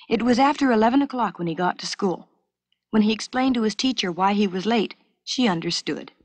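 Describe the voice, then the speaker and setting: A woman narrates a scripted story for schoolchildren with a clear, measured delivery. Her voice sits at an average female pitch, recorded close and dry in the style of a 1970s educational cassette. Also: dry recording.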